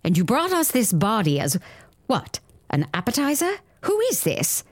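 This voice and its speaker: A woman's voice: fairly high pitch, wildly swooping pitch, moderate pace, no room sound. A woman acting in an audio drama as a sly, self-serving schemer, with a languid, mocking drawl on her words. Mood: curious, skeptical.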